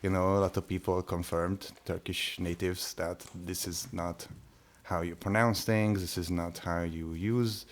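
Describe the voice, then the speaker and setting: A man whose first language is Hungarian, talking casually on a podcast with many pauses and restarts. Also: sits low, fairly even intonation. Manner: hesitant, many restarts and fillers. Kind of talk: casual conversation.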